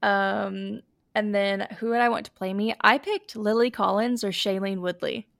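A woman's voice: mid-range voice, fairly even intonation, moderate pace, gravelly, fairly bright tone, dry recording, clean studio sound. A young adult woman hosting a casual podcast chat, her voice scratchy and a bit sniffly from allergies, with lots of fillers. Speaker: podcast host.

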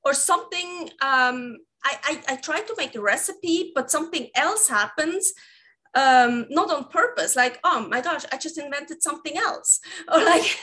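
Swiss woman, 30s, high bright voice, rambling interview guest who keeps restarting sentences. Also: expressive, unhurried. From Switzerland. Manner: rambling, self-correcting, laughs often. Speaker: guest, recipe developer. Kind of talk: casual interview conversation.

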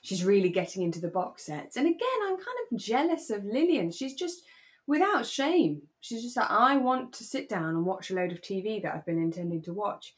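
A woman co-hosting a podcast in a relaxed, casual conversational style. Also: medium pitch, fairly quick. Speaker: podcast host; actor. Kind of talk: relaxed casual chat about a radio drama.

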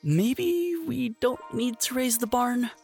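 A man's voice: very high-pitched, expressive, slow pace, gravelly, music in the background, exaggerated voice. A man playing a naive, nervous and eager youngest farm brother in an audio drama.

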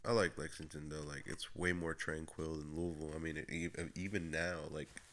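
A man's voice: extremely low pitch, little pitch movement, normal speed, a bit bright. A man with a Cuban Spanish accent co-hosting a casual podcast chat, hesitant, with many fillers and restarts. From Cuba. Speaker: podcast co-host.

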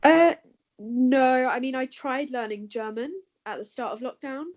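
A woman's voice: high-pitched, unhurried. A woman with an English accent answering questions in a casual chat, talking with plenty of fillers and laughing easily. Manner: chatty, laughs often, many fillers. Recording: dull lo-fi recording.

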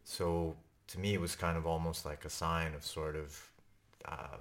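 Really low deep voice, guy from turkey, halting casual chat, lots of sort-ofs. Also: flat delivery, fairly bright tone. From Istanbul, Turkey. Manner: halting, with many "sort of" hedges. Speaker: podcast co-host. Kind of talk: casual conversation.

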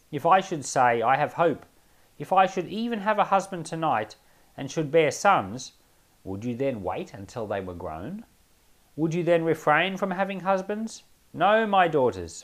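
Man with animated, swooping Bible-teaching delivery. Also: fairly high pitch. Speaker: pastor reading and teaching scripture. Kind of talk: Bible reading aloud with informal commentary.

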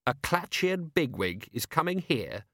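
A man's voice: on the high side, big pitch swings, unhurried, a bit bright, no room sound, studio quality, voice pushed up, full character voice. A man voicing a stubborn, cynical city watch commander in an audiobook, blunt and plain-spoken. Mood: indignant. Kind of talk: audiobook character voice.

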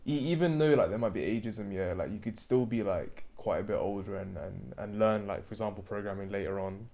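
A man's voice: on the low side, a little fast, creaky, dark tone. A young man, a podcast guest chatting in a laid-back, slangy way. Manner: laid-back slangy chat, says "bro" and "innit". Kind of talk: casual chat.

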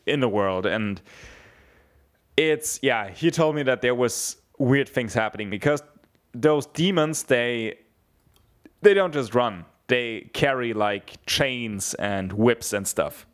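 A man's voice: mid-range voice, animated, takes it slowly. Young man rambling casually. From Germany (southeast Bavaria). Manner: rambling, swears a lot, many fillers and restarts. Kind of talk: casual chat.

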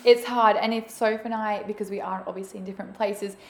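A woman's voice: mid-range voice, steady tone, fast talker, creaky, a little mellow. A young woman co-hosting a casual chat in an upbeat way, laughing easily. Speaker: podcast co-host. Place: quiet room, shared mic. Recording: echoey room, faint background noise.

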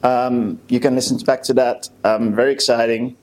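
A young man co-hosting a casual chat podcast, halting and full of fillers and restarts. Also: mid-range voice, flat delivery, darkish tone, low-quality recording. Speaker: podcast co-host.

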